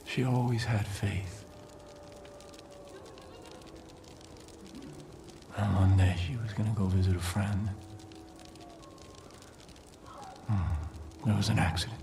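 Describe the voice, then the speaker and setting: Hushed, low-voiced fanatical cult leader. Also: male voice, steady tone, vocal fry, over music. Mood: wistful. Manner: hushed, halting, preacherly, often dropping to a whisper. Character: soft-spoken cult leader, grieving, fanatically devout. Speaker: villain character. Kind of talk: dramatic game cutscene monologue.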